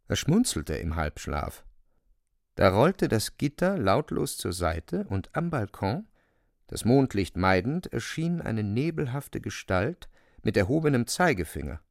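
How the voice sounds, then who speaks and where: A man narrating a literary radio play in a deliberate, expressive storytelling manner, close to the microphone. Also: medium pitch, vocal fry, no echo.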